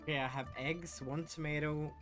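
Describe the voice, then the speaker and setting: A woman's voice: sits low, fairly even intonation, normal speed, music in the background. A woman streamer chatting casually while watching game cutscenes. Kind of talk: casual livestream chat.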